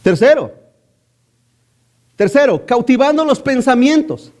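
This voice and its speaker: High-voiced man preaching with rhetorical questions. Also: expressive, unhurried, a little mellow. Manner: emphatic, repeats key phrases. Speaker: pastor. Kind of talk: sermon.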